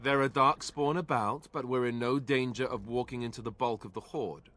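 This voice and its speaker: A man playing a steady young warrior with a dry, sardonic, reassuring manner. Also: mid-range voice, moderate pace, close to an everyday voice. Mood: wry, assured.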